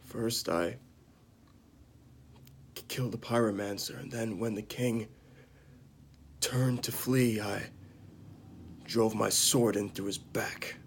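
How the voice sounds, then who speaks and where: A man playing a bitter disgraced knight confessing to killing a mad king, in a halting, dramatic amateur monologue that drops into whispers. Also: fairly low pitch, steady tone, a little hiss or hum. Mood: haunted, bitter.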